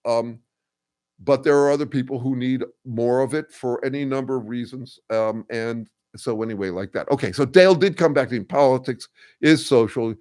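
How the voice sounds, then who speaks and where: An older man hosting a casual livestream, thinking aloud with many ums, uhs and restarts as he answers viewers, and describing his own voice as a bass. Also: a little mellow. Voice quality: says he has a bass voice. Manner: thoughtful, halting, full of ums and restarts. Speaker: host answering viewer comments.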